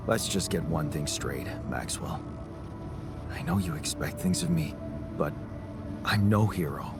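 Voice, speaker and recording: An actor playing a weary, self-doubting working miner in a video game, blunt, plain-spoken and guarded. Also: male voice, rumbling low, lively intonation, unhurried, heavily acted. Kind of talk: naturalistic video game acting. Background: prominent music.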